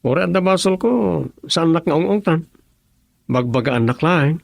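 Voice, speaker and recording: Young suitor, earnest, tender, Ilocano Filipino accent, radio drama, creaky husky voice, dry sound. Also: male voice, fairly high pitch, moderate pace, no room sound.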